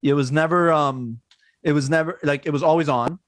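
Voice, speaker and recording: A man's voice: a little high, brisk, no room sound. A man in his forties with a Persian accent, who grew up in Iran, hosting a casual podcast chat full of fillers and repeated yeahs. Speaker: podcast host.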